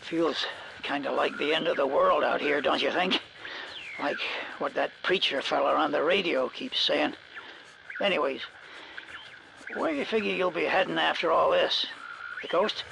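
An actor playing a folksy, jittery American survivor in a video game, talking over a two-way radio with stammers and homespun phrasing. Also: male voice, fairly high pitch, moderate pace, creaky, pauses often. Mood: somber, wistful. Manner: folksy, drawling small-town turns of phrase, stammering. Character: folksy, jittery survivor named Rusty, stranded among the undead. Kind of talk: video game cutscene acting. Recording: noisy walkie-talkie radio transmission.

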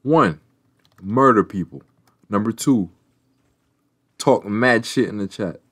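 A man's voice: lowish voice, slow pace, a bit bright, breathy edge. A man casually chatting over gameplay as a streamer, slangy and full of trash talk. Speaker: gaming streamer.

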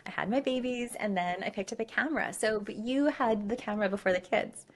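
A woman's voice: medium pitch, brisk. A middle-aged woman hosting an upbeat podcast interview in an effusive, emphatic way, close on the mic. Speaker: show host. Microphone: close podcast mic on a boom arm.

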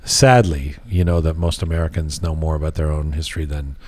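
A man's voice: very deep voice, flat delivery, a little fast, a little mellow, breathy edge, dry recording. A man hosting in a studio, with a wry, teasing manner. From Canada. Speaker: show host. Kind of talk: casual, joking studio chat.

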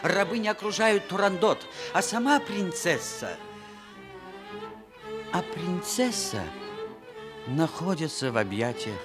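A fairy-tale storyteller narrates a radio play in a fairly high male voice. The telling is measured and theatrical, with string music clearly playing behind it. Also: lively intonation. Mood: storytelling.

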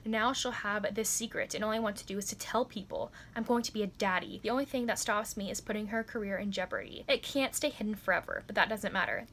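A woman's voice: on the high side, a bit bright. A woman of twenty chatting about books and reading blurbs aloud in a casual way as a vlogger. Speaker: book vlogger. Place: indoors in a room with bookshelves.

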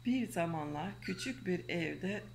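A mother who is losing her voice, gently and slowly reading a children's storybook aloud at home. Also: lowish voice, animated, gravelly, a little hiss or hum. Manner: gentle, coaxing, reads slowly. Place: home bedroom, indoors.

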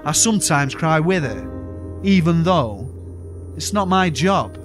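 A man with a Yorkshire accent recites rhyming spoken word verse in a steady, weary, detached cadence. His voice sits a little higher than most men's. A clear music bed runs underneath the studio voice-over. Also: slow. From South Yorkshire, England.

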